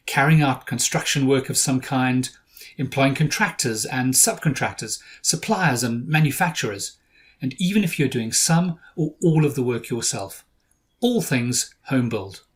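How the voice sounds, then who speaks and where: Storytelling man hosting a podcast. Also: mid-range voice. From South Africa. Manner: storytelling with dry humor. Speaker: podcast host. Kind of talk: scripted podcast narration.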